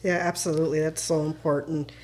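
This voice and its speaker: A woman hosts a casual interview, asking questions in an encouraging way. Her voice sits a little low. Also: flat delivery, quick pace, a little mellow. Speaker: podcast host, realtor.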